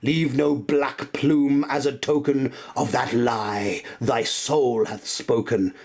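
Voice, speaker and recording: A male performer as an anguished, bereaved man in a Gothic poem. He declaims theatrically at an ominous bird, pleading and raging. The voice climbs well above a normal speaking pitch, with slow delivery and a husky edge. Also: fairly even intonation, darkish tone. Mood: furious defiance. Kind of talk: dramatic Gothic poetry recitation.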